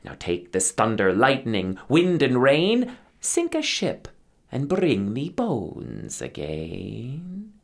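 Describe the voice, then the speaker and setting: A man's voice: mid-range voice, wildly swooping pitch, slow, vocal fry, full character voice. Cackling storm witch, as a male storyteller puts her on. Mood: gleeful, commanding. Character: cackling old witch brewing storms, greedy.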